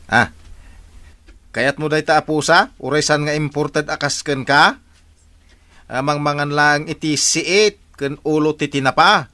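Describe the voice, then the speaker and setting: A man's voice: fairly high pitch, steady tone, a little slow, long pauses, sharp tone. A man acting a put-upon, timid house servant who mutters and exclaims, with an Ilocano accent in a radio drama. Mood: grumbling, scheming. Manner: muttering, exclamatory, talks to the cat.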